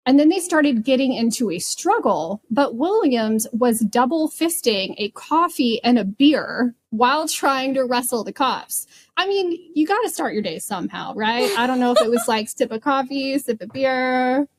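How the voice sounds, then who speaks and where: A woman's voice: sits high, animated, moderate pace, hardly any pauses. A woman co-hosting a podcast, telling true crime stories casually with a wry, giggly manner. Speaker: podcast co-host.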